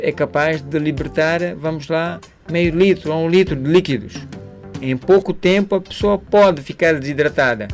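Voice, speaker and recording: A man speaking as a doctor, explaining health matters didactically for radio, pausing and checking with tag questions. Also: fairly high pitch.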